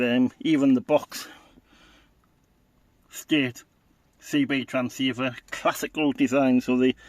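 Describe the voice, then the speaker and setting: A man giving a casual, unscripted product walkthrough as a hobbyist, halting and matter-of-fact. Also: mid-range voice, darkish tone, no room sound. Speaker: hobbyist video presenter.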